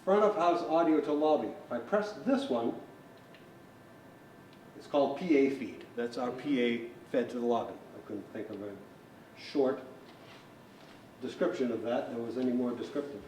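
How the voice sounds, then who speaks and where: A distant, echoey recording of a man with a middle-pitched voice, caught in a large hall with faint noise. He gives a technical tutorial in a plain, methodical manner, explaining equipment step by step. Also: moderate pace, pauses often, a little mellow. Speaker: technician instructor. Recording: roomy echo, distant mic, faint noise.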